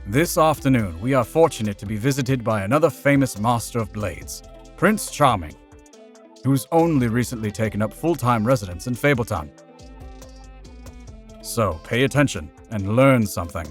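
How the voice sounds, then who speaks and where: A man's voice: mid-range voice, expressive, normal speed, faint music behind, natural voice. A man playing a formal fencing master making commanding announcements in a comic dub. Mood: stately. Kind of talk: comic dub character acting.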